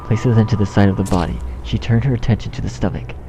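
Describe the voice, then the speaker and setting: A man's voice: fairly low pitch, quick pace, background noise. A man reading a horror story as narrator in a scripted style. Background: background music.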